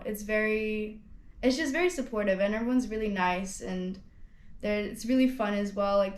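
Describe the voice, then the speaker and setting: A nineteen-year-old woman from Hong Kong, a student ambassador, chatting casually about her studies with many "like"s and restarts. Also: mid-range voice. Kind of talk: casual testimonial interview. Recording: roomy echo.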